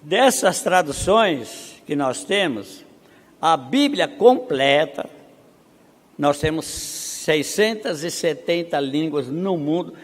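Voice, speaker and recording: Man from Brazil, conference preacher, higher voice, long hall reverb, rhetorical questions. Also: expressive. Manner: rhetorical questions, emphatic rises; reads statistics slowly. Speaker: missionary conference speaker. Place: seminary auditorium.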